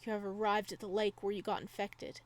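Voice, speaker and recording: A woman's voice: mid-range voice, little pitch movement, fairly quick. A woman hosting a gaming video, reading visual novel narration aloud. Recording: some background noise under voice.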